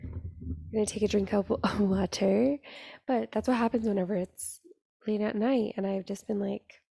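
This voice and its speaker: Woman, young adult, raspy, gravelly, dry close mic, casual podcast monologue. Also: medium pitch, fairly even intonation, normal speed, warm tone, no room sound. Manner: chatty and earnest, many "like" fillers. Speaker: podcast host.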